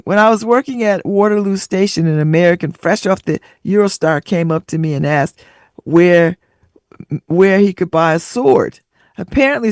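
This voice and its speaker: A woman's voice: medium pitch, darkish tone, no room sound, clean studio sound. A woman hosting a radio talk show, reading out listener stories and riffing on them with frequent laughter and sassy asides.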